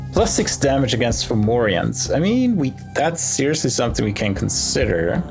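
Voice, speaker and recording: A man giving casual gameplay commentary, thinking aloud in short, musing phrases with pauses between them. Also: medium pitch. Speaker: let's play host. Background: game music.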